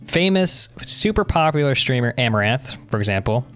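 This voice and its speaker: A man's voice: mid-range voice, big pitch swings, normal speed, a bit bright. A young man co-hosting a podcast, chatting casually and giving opinions in an explanatory way. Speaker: podcast co-host. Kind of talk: casual conversational discussion.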